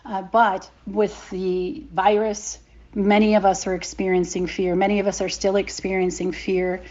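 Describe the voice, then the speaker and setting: A woman's voice: medium pitch, level tone, normal speed, a little mellow, lo-fi sound. A woman explaining thoughtfully and emphatically in a podcast commentary.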